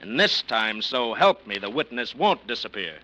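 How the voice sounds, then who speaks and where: Hard-nosed detective, needling and blunt, very bright cutting tone, faint noise behind. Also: male voice, mid-range voice, big pitch swings, moderate pace, low-quality recording. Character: tough, blunt male police detective. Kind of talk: 1940s radio acting.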